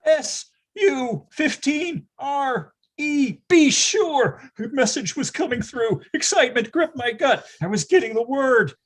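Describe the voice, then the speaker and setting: A performer playing an excitable, grandiose narrator recalling a nine-year-old's boyhood in a theatrical readers theatre style. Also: sits high, unhurried, few pauses. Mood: building excitement.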